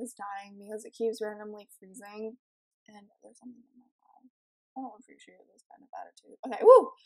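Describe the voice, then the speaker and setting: Teen girl let's player, raspy morning voice, kinda high, rambly with lots of ums. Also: rough recording. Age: teens. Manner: chatty, giggly, rambling with many ums. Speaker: let's play host. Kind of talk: casual gameplay commentary.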